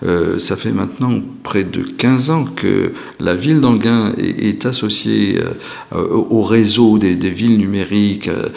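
A man hosting an interview who talks haltingly, with many restarts and fillers. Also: fairly low pitch, vocal fry, darkish tone. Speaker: host. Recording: long reverb.

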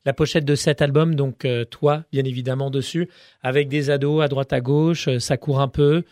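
A man's voice: medium pitch, fairly even intonation, normal speed, darkish tone, no room sound, clean studio sound. A man working as an interviewer, asking casual questions in an informal, somewhat hesitant way.

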